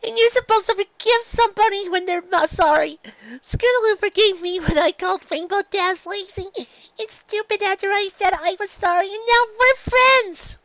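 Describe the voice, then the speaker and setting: A very high, squeaky little girl pony for a storybook. She is earnest and defiant, pleading in stammered bursts. The sound is bright and slightly muffled by the recording. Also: normal speed, dry recording. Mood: upset, accusing. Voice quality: squeaky childlike voice.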